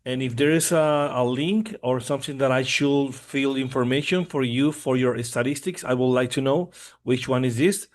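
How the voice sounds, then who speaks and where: A man of middling pitch joins a video call to ask a polite question. He speaks carefully, now and then searching for the right words. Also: moderate pace. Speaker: audience member asking a question.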